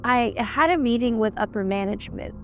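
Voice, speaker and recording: A woman's voice: fairly high pitch, brisk, soft background music, close to an everyday voice. A woman in an audio drama playing an anxious, deferential talent manager who speaks hesitantly. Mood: placating. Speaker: voice actor in fan audio drama.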